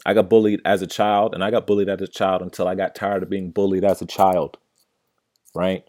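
A man's voice: sits low, little pitch movement, brisk, darkish tone, no echo, clean studio sound. Blunt, profane man with a stutter. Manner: often ends points with "right?". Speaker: podcast host. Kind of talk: casual solo podcast rant.

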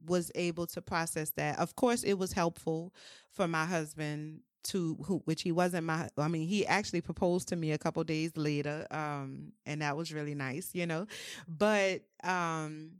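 A woman's voice: low voice, fairly even intonation, normal speed, no room sound, clean studio sound. A woman from New Orleans, talking openly in a personal conversation, with frequent "um"s and "you know"s. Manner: open and frank, many "um"s and "you know"s.

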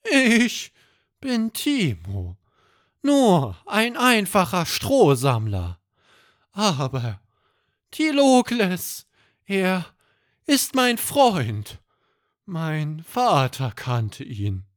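A man voicing an excitable talking horse, a humble straw gatherer, in a dramatic, exclamatory way for a children's bedtime story. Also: sits high, very slow, pauses often, no echo, studio quality, pitched above the performer's own voice, exaggerated voice.

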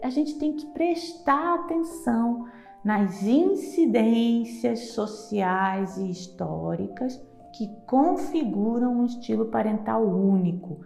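A woman's voice: a little high, expressive, slow, creaky, dark tone, echoey room, faint music behind. A woman from Rio de Janeiro with a Carioca accent, lecturing psychologists in a didactic way and often checking in with "tá?". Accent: Carioca Brazilian Portuguese. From Rio de Janeiro, Brazil.

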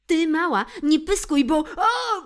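A woman's voice: high-pitched, sing-song, measured pace, a bit bright, pitched above the performer's own voice, full character voice. A woman voicing a male bully cat who mocks and threatens smaller animals in a children's story. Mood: threatening. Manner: sneering, threatening.

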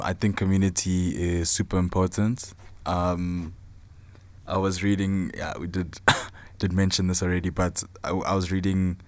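A South African man in his twenties, talking casually about himself as an interview guest. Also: low voice. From Zimbabwe and South Africa. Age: 20s. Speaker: podcast guest, film student and photographer.

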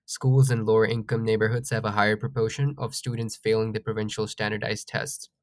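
Male panel moderator from Pakistan, lowish monotone delivery, dry close sound. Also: fairly quick, dry recording. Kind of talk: presenting findings, then putting a question to a panel.

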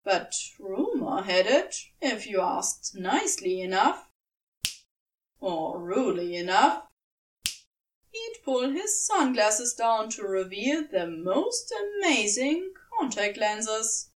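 A woman reading scripted fiction as an audiobook narrator, with a measured, storybook-like delivery. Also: medium pitch, big pitch swings, recorded from a distance, a little hiss or hum, poor audio. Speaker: fan fiction audiobook reader.

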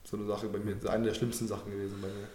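A man's voice: lowish voice, level tone, rapid-fire, a little mellow, echoey room. A young man born in Germany, talking casually and earnestly in an interview, thinking aloud with loose slangy phrasing and many fillers. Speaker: guest.

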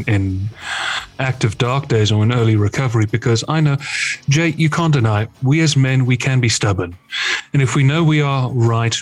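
A man with a booming bass-baritone voice, speaking as a guest in recovery in an earnest, reflective conversation. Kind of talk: candid conversation.

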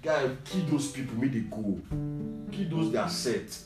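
A man's voice: medium pitch, measured pace. Nigerian man chatting casually in an echoey room. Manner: animated, slangy, repeats himself for emphasis. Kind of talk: casual banter and storytelling in Pidgin-mixed English. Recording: echoey room, distant mic, music behind.

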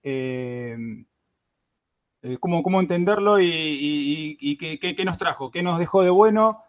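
A man's voice: a little high, a little slow. A man hosting a gaming podcast, talking casually with lots of fillers and restarts. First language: Spanish. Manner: hesitant, many fillers and restarts. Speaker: podcast host.

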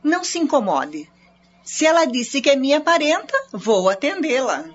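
An actress in a radio drama playing a charitable lady of the house, speaking gently and patiently. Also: sits high, very animated, normal speed, a little hiss or hum. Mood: concerned. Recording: muffled radio play with music.

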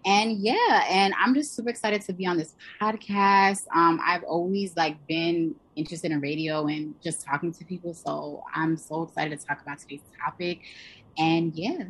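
Bubbly, husky-voiced young woman. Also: on the low side, moderate pace, a bit bright, low-quality recording. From Pennsylvania. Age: 20s. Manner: bubbly, giggly, talkative. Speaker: podcast co-host. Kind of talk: casual podcast chat.

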